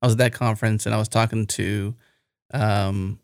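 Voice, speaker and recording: A man's voice: on the low side, fairly even intonation, normal speed, smooth, dry recording, clean studio sound. A man hosting a podcast in casual conversation, curious and given to rambling asides. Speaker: podcast host.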